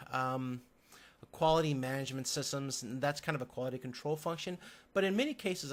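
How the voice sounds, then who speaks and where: A man lecturing from slides, explaining step by step in a halting way. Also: medium pitch.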